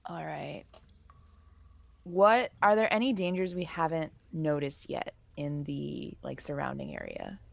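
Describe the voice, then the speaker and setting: Woman gamer, casual chat, lowish voice with lots of fry, really dry sound. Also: sing-song, unhurried, no room sound. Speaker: tabletop game player. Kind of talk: casual game-table chat.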